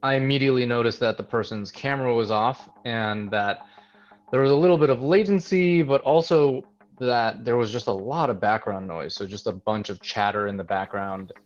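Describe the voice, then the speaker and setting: A man's voice: mid-range voice, normal speed. Man telling a story over a lo-fi line. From New York area, USA. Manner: conversational, with frequent fillers and restarts. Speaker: interview guest, tech hiring manager. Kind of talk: casual storytelling interview.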